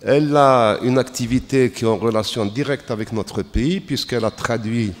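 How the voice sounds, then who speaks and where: A man formally introducing a guest speaker at a festival, measured with occasional fillers. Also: mid-range voice. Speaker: festival host, moderator. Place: lecture hall. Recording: long reverb of a hall.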